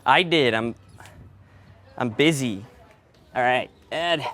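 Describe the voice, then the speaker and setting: A man's voice: mid-range voice, slow pace, fairly bright tone. A man talking casually and teasingly as he explains the work he is doing on location. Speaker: sound engineer and host. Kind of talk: casual chat while working. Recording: clear background noise, on-location audio.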